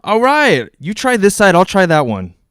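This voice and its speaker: Man playing a folksy salesman, very animated. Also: high voice, moderate pace, clear, resonant tone, dry recording, studio quality, heavily acted. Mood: puzzled, uneasy. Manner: folksy, persuasive salesman patter. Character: folksy, fast-talking, eager male real estate agent. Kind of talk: 1940s radio drama acting.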